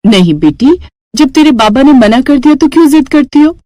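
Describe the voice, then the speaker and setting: Woman as a gentle, high-voiced village mother. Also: big pitch swings, moderate pace, no room sound, studio quality. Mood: affectionate. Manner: earnest, affectionate. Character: gentle, determined potter's wife and mother. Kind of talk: children's moral story acting.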